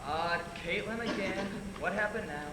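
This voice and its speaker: A man acting a preppy rich teen, defensive, stage comedy, cavernous echo, clear noise. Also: sits high, normal speed, lightly acted. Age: young adult. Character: defensive, well-off preppy teenage guy.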